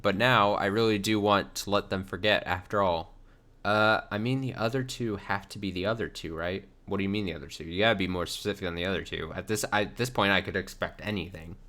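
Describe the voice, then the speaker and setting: A man's voice: sits low, brisk, bright, forceful tone. A man streaming live reaction commentary on game cutscenes, exasperated and sweary, often repeating his phrases. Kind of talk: live reaction commentary, reading subtitles aloud.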